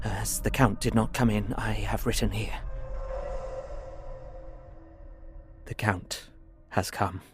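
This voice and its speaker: An actor playing an earnest, anxious young solicitor held captive, narrating his journal in a measured, literary way for an audio drama. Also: on the low side, steady tone, soft background music, lightly acted. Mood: tense dread.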